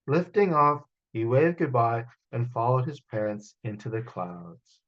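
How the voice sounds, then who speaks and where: A man reading a children's picture book aloud as a storyteller, in an expressive manner, over a video call. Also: medium pitch, unhurried, highs cut off. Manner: expressive, acts out sound effects.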